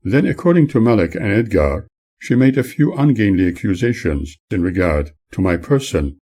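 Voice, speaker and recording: Low, husky man reading his memoir. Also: big pitch swings, moderate pace, warm tone. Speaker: author reading own memoir. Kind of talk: scripted narration, audiobook reading.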